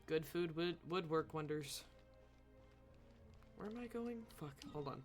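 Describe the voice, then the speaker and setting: A woman's voice: low voice, lively intonation, unhurried, soft background music. A woman live-streaming a game with casual, blunt, offhand commentary and some swearing.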